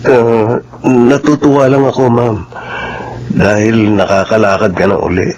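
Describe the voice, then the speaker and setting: An actor playing a loyal, gentle driver in a melodrama, speaking in a deferential, earnest and careful way. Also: male voice, lowish voice, level tone, measured pace, natural voice. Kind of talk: Filipino melodrama dialogue. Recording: dull, noisy recording.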